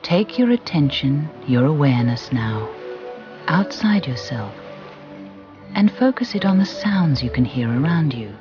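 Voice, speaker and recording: A soothing, gentle voice guiding a relaxation and visualisation exercise, deliberate and with long pauses. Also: mid-range voice, very animated. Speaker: guided relaxation instructor. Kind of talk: guided visualisation narration. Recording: muffled recording with music behind. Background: background music.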